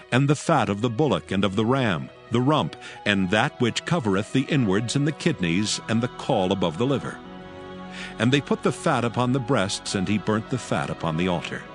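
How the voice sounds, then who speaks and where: A man narrating scripture from a script in a measured, formal manner. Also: on the low side. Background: music.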